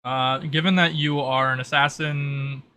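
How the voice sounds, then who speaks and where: A man's voice: medium pitch, steady tone, measured pace, sharp tone, a little hiss or hum. A man narrating a tabletop roleplay as game master in a casual, improvised and informal way. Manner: informal, peppered with "like".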